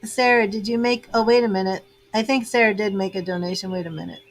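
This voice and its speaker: An American woman in her fifties hosting a live tarot reading, chatty and casual, laughing often and filling pauses with "um". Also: medium pitch, steady tone, a little hiss or hum. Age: 50s. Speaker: tarot reader hosting a livestream. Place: home with grandchildren and birds in earshot.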